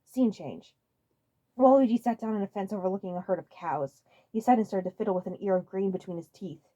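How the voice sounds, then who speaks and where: A woman's voice: mid-range voice, brisk, dark tone, highs cut off. A woman reading fan fiction aloud as a scripted story narrator on a home microphone.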